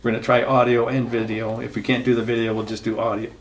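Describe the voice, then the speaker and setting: A man in his sixties hosts a relaxed chat with friends. He talks in a loose, rambling way and keeps circling back with "yeah." His pitch sits in an ordinary middle range. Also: fast talker, slight background noise, low-quality recording. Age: 60s. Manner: easygoing, rambling, repeats "yeah". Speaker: podcast host. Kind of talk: casual chat.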